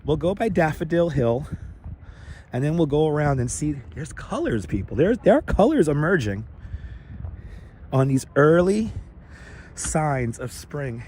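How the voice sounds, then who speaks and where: Hoarse, high-voiced man livestreaming outdoors. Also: big pitch swings, measured pace, pauses often, a little mellow. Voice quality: raspy, slightly squeaky. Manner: rambling, repeats phrases, greets viewers by turns. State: hoarse from overuse. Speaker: livestream host. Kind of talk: casual walking livestream chat. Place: outdoors in a city park, walking. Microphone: wireless clip-on lavalier mic. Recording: clear outdoor ambient and traffic noise.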